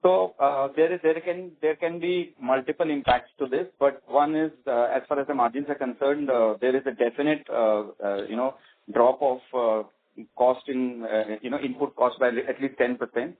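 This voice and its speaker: A man's voice: mid-range voice, fairly even intonation, moderate pace. Indian-accented man on a tinny phone line. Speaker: company executive. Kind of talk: earnings call answers. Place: conference call.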